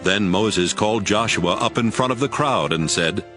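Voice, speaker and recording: Male narrator, lowish pitch, scripted scripture storytelling, faint music behind. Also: moderate pace.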